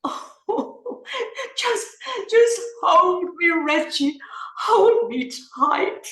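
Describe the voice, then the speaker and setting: A woman's voice: very high-pitched, expressive, extremely slow, a bit bright, echoey room, exaggerated voice. A woman playing an imperious, theatrically emotional aristocratic lady in a comic stage play, with a declamatory manner. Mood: emotional relief. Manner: declamatory, dramatic.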